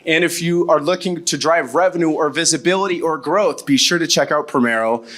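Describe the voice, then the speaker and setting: A man's voice: on the high side, a little fast, a bit bright. A middle-aged man hosting a live podcast in front of an audience in a hotel event room, enthusiastic and upbeat, on a handheld mic. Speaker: podcast host.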